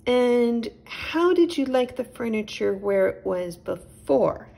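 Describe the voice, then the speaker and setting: A gentle chipmunk friend played by a woman in a storybook, patient and reassuring. His voice is a little raised and husky, with an inquisitive lilt. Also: on the high side, lively intonation, takes it slowly, pitched above the performer's own voice, full character voice. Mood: patient questioning. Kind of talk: children's storybook character voice.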